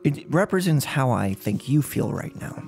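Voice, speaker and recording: A young man in his twenties playing a gloating, unhinged serial killer in an ASMR role-play, speaking close to the mic in a halting, mock-polite, menacing way. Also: mid-range voice, big pitch swings, creaky, darkish tone, faint music behind. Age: 20s. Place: small furnished room at home. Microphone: close microphone on a boom arm.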